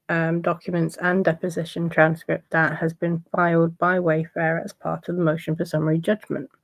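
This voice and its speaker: A woman with a croaky voice giving casual commentary on court filings in a loose, conversational way. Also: on the low side, no room sound. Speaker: legal commentary vlogger.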